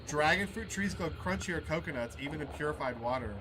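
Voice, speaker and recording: Clear background noise sits under a man with a fairly high-pitched voice. He plays through a game as host, reading its dialogue aloud. Between lines he muses to himself casually, often halting as he decides. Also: expressive. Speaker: gaming video host.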